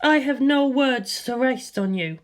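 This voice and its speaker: A reader voicing a cool, curt young woman warrior who speaks calmly in short lines in an audiobook. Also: high-pitched, measured pace, voice pushed up. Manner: calm, clipped and terse. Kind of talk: audiobook character voice.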